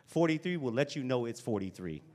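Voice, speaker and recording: A man's voice: mid-range voice, brisk. A man in his forties giving a casual, encouraging talk to young people in a school hall, speaking into a handheld microphone. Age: 40s. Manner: lively, casual and encouraging, chatty asides. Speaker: motivational speaker. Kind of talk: live talk to a youth audience.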